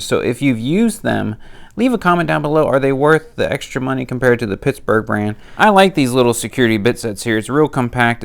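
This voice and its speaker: Nasal, gravelly man with a cold. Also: mid-range voice. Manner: chatty, practical, plain-spoken. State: has a cold. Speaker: tool deals vlogger. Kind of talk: casual product rundown.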